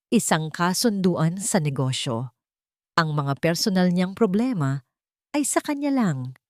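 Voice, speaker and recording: A woman's voice: on the low side, no echo, studio quality. A woman reading scripted, dramatic narration of a romance story.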